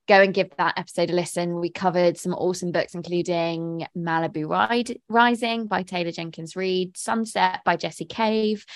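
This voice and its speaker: A woman's voice: lowish voice, no room sound. Woman, podcast co-host, casual chat, upbeat, dry close sound. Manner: chatty, upbeat, laughs often.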